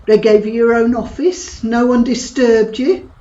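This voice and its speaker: A woman's voice: fairly high pitch, steady tone, measured pace, mellow, dull audio, close to an everyday voice. A woman playing Gabby, a cheeky, provocative middle-aged friend who mocks and prods with blunt humour in an audio drama. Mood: scornful. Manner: teasing, blunt and mocking.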